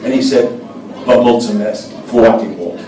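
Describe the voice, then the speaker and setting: A man's voice: medium pitch, fairly even intonation, unhurried. An older American man, a former diplomat, telling anecdotes in an interview, hesitant with frequent fillers. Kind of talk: storytelling interview answers. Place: café event room. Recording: echoey room, distant mic, background noise.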